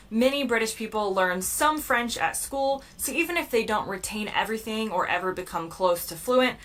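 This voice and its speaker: A woman's voice: fairly high pitch, pushed and bright. A woman with an American accent, narrating a scripted explainer with upbeat, playful emphasis. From United States. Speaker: video creator. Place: played from a video.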